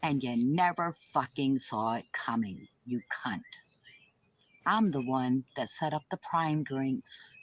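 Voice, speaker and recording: Slow-talking, taunting woman. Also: very deep voice, slight background noise. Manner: taunting, foul-mouthed. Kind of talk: taunting monologue.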